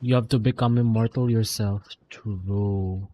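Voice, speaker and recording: A man's voice: on the low side, fairly even intonation, unhurried, mellow, a little hiss or hum. A man with a Filipino accent giving casual, slangy live game commentary, switching between English and Filipino. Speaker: game streamer.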